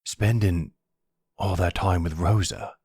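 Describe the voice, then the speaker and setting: Guy doing breezy teasing family friend for roleplay audio, low husky voice. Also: fairly even intonation, takes it slowly, a little mellow, dry recording, clean studio sound, full character voice. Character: breezy adult male family friend who loves kids.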